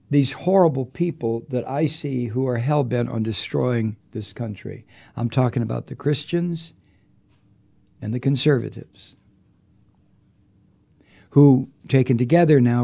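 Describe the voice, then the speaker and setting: A man's voice: medium pitch, creaky, dark tone. A man hosting a political podcast, delivering an angry, profane monologue with heavy emphasis. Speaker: podcast host.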